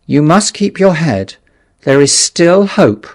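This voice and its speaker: A man's voice: fairly high pitch, big pitch swings, takes it slowly, pitched above the performer's own voice, heavily acted. A man voicing a sober, steadfast survivor in an audiobook story, firm and matter-of-fact as he exhorts. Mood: calm.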